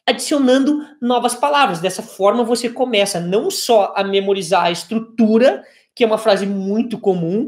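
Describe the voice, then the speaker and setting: Brazilian man teaching in conversational style. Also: sits high. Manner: conversational, explains step by step with examples. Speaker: English teacher. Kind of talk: informal video lesson.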